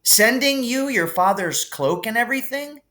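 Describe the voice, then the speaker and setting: A man's voice: very high-pitched, expressive, normal speed, pushed and bright, pitched above the performer's own voice, exaggerated voice. A man voicing a blunt, curious schoolboy sidekick who is easily impressed.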